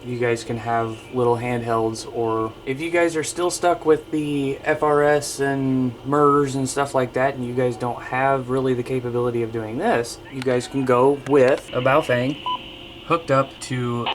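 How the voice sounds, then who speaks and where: A man's voice: mid-range voice, steady tone, unhurried. A man with a mid-range voice hosts an informal how-to video. He explains gear conversationally, addressing viewers directly. The recording carries faint background noise. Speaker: video host. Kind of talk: casual instructional talk, demonstrating radio gear.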